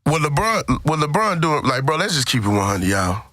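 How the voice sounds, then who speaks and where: A man's voice: fairly high pitch, very animated, normal speed, pushed and bright, no room sound, clean studio sound. An American man in casual, slangy podcast chat, emphatic and often repeating himself. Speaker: podcast host. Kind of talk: casual sports and gossip chat.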